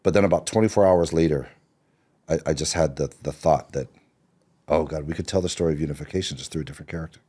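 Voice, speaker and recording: A middle-aged man from Seattle, here as a screenwriter on a podcast, with an exceptionally low voice. His tone is dark and mellow. He tells his story in a relaxed, rambling way, full of fillers and restarts. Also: fast, slightly rough. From Seattle, Washington.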